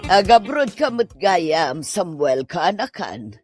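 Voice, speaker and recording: A woman's voice: fairly low pitch, sing-song, takes it slowly, over loud music. A woman playing a nosy, slyly friendly older village auntie in Ilocano comedy drama, with an Ilocano accent. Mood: prying, friendly.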